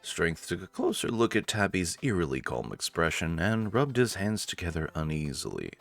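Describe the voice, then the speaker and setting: A man's voice: sits low, very animated, normal speed, creaky, fairly bright tone. A man hosting a let's-play, reading story narration theatrically with casual, amused asides. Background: faint game music.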